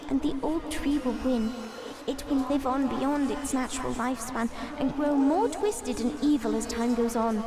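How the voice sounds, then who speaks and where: Woman as dying tree spirit, high voice, solemn, earnest, formal, fantasy audio drama. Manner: formal, grave, earnest phrasing. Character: dying magical tree seed spirit, solemn, pleading, female.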